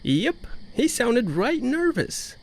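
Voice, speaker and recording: A woman's voice: on the high side, sing-song, takes it slowly, exaggerated voice. Swooping, folksy Southern woman as acted by a woman. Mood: wary. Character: folksy, plain-spoken Southern-drawling woman. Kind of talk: audio drama acting.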